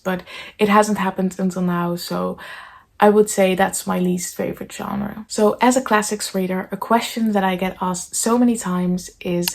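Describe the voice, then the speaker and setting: A young woman who is a native Dutch speaker, talking casually and earnestly to camera with frequent ums as she answers viewer questions. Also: medium pitch. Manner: soft-spoken, earnest, many ums. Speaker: booktube host.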